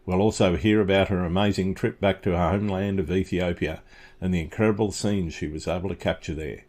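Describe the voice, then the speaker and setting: Man, Australian accent, podcast host, fairly low pitch, measured, some fillers. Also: fairly even intonation, darkish tone. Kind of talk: scripted intro then interview questions.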